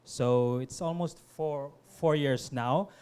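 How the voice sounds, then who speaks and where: A middle-aged man from Indonesia, a guest on a panel talk, speaking haltingly with many fillers and restarts. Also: medium pitch, warm tone, clean tone. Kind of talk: panel conversation.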